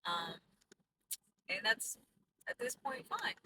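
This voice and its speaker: A woman's voice: medium pitch, wildly swooping pitch, slow. A woman co-hosting a casual podcast from a car, talking in a dry, teasing way. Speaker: podcast co-host. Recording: distant mic, car noise.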